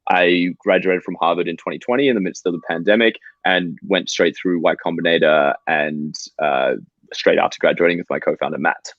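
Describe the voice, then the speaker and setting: Man, 20s, founder guest; deep voice, muffled dry call sound, brisk and animated. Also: dry recording. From Australia. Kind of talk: explaining software on a video call. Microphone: video call microphone. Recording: slightly dull, dry call audio.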